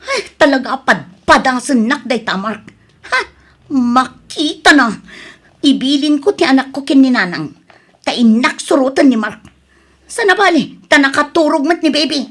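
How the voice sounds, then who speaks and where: A woman playing a sharp, reproachful young wife who scolds her husband in an audio drama. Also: high voice, lively intonation, moderate pace, long pauses. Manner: nagging, scolding.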